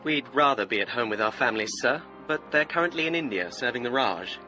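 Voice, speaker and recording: A man's voice: medium pitch, expressive, a little fast, sharp tone, soft background music, low-quality recording. A man playing a flippant, grumbling young English undergraduate in a radio drama. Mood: dutifully polite. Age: young adult. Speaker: actor.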